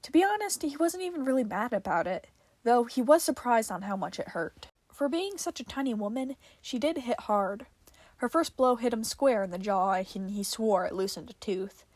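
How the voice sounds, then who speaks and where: A woman's voice: fairly high pitch, animated, moderate pace, darkish tone, no room sound. A woman reading fiction aloud as a podfic narrator, in a dramatic storytelling style.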